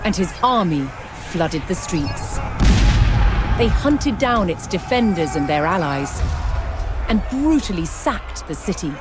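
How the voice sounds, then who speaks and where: A woman reading scripted historical narration for a strategy game, in a measured, dramatic storytelling delivery. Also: lowish voice, very animated. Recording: music under the voice. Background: background music.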